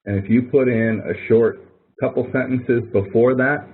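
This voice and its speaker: A man's voice: mid-range voice, fairly even intonation, measured pace. A man leading an instructional webinar, explaining things informally in a conversational, coaching way and often repeating phrases. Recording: thin phone-like webinar audio.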